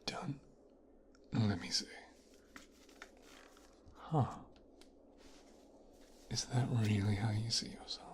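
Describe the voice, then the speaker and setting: A mafia heir played by a man speaks in a low, breathy near-whisper. He takes his time with every phrase, smooth and possessive. The delivery is intimate ASMR roleplay, hushed and deliberate. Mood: teasing, possessive. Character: possessive, smooth-talking criminal son of a mafia boss.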